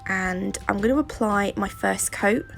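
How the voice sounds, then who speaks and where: A woman's voice: mid-range voice, a little slow, creaky, faint music behind. A British woman with a sore throat and a stuffy nose, chatting her way through a nail tutorial in a rambling, casual style. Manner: chatty and rambling, with fillers and asides. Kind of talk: casual how-to narration.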